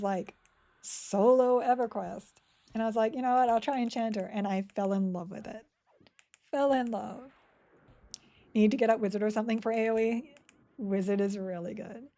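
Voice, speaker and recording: A woman's voice: on the high side, mellow. A woman streaming a game live, chatting casually and rambling while she plays and reads chat aloud.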